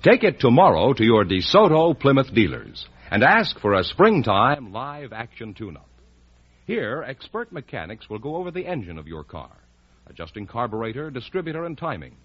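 A man's voice: mid-range voice, very animated, normal speed, slightly rough. An American man reading a scripted 1950s radio commercial with an emphatic salesman's delivery. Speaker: commercial announcer. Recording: muffled vintage radio broadcast.

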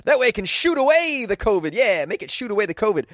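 A man hosting a casual comedy news commentary, reading stories aloud and riffing on them with goofy, excitable, slangy asides. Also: high voice, fast talker, no room sound, studio quality.

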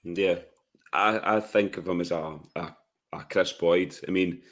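A Scottish man with a very deep voice, gone hoarse from shouting, gives his blunt take on a football match. He speaks casually and with opinion, and he asks rhetorical questions before he answers them himself. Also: steady tone, a little slow. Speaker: football podcast co-host.

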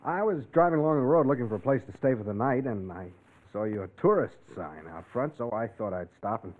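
A man's voice: medium pitch, expressive, a little fast, lightly acted. An actor playing a tired, easygoing male star reporter, casual and wry, in 1940s radio drama style. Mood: tired, polite. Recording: tinny vintage radio recording.

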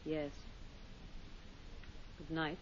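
A woman's voice: deep voice, level tone, drawn-out words, full character voice. A woman playing a guarded, weary school principal in a 1950s radio drama, speaking formally, politely and curtly. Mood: tired, evasive. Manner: polite, formal, curt and evasive. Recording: muffled, noisy 1950s radio recording.

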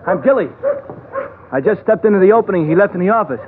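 An actor playing a blunt, brash, offhand waterfront go-between in a 1940s radio drama. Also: male voice, high-pitched, lively intonation, fast talker. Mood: blunt, grim. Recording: tinny, faintly noisy old radio broadcast.

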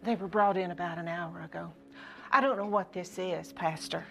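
An actress playing a distressed carer of sick survivors in an audio drama, anxious and helpless, with a gravelly edge. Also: female voice, lowish voice, fairly even intonation, normal speed, fairly bright tone, soft background music. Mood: alarmed worry.

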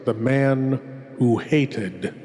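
In a long, echoing hall, a ghoulish lord played by a man presents old-time radio horror. He speaks very slowly and theatrically, courtly and sinister, with ornate, deliberate phrasing. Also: medium pitch, steady tone, dark tone. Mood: grave, ominous. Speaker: horror show host.

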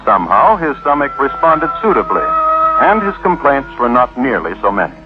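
A man playing a wry, mock-formal narrator of a historical poisoning case in an old radio crime drama, with deadpan delivery. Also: medium pitch, normal speed, close to an everyday voice. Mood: dry, wry. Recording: dull vintage radio recording with music.

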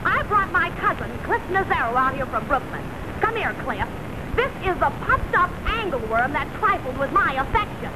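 An actress playing a scornful, sharp-tongued jilted fiancée in a 1940s radio comedy. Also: female voice, very high voice, big pitch swings, normal speed. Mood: scornful, scolding. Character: scornful, jilted fiancée hurling theatrical insults. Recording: noisy vintage radio recording, studio audience.

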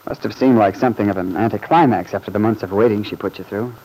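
Urbane, low-voiced bachelor of radio drama acted. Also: male voice, fast, dark tone, natural voice, rough recording. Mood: wry, sympathetic. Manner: urbane, wry, wordy. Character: urbane bachelor man about town, wry, sociable friend. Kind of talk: old-time radio drama acting.

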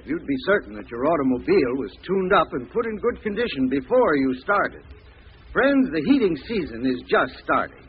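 A man giving a formal, instructive 1940s radio sponsor pitch as a heating expert on an old recording. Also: narrow, tinny audio, a little hiss or hum. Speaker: advertising spokesman, heating expert.

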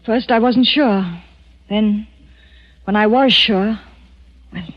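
An actress playing a frightened wife of a killer in 1940s radio drama, pleading breathlessly and dramatically. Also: female voice, mid-range voice, steady tone, slow, no room sound, natural voice. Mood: anxious, confiding. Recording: muffled 1940s radio broadcast, music behind.

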